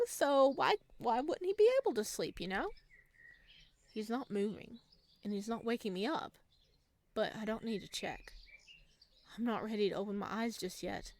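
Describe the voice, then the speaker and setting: A woman reading a visual novel aloud as a let's player, casual and expressive, with jokey commentary. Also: on the high side.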